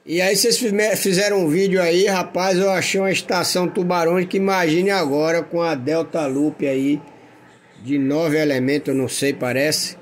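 A man's voice: a little high, steady tone, moderate pace, slight background noise. A Brazilian man chatting casually and admiringly as a radio operator, in a friendly way.

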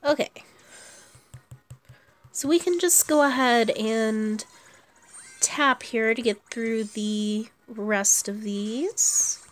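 A woman's voice: a little high, very slow, long pauses. A woman giving casual commentary on her own gameplay, thinking aloud as she plays. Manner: thinks aloud while playing. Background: video game music.

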